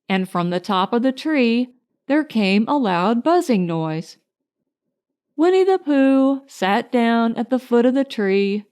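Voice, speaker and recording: A woman narrating a children's storybook in an unhurried, expressive way. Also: a little high. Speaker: audiobook narrator.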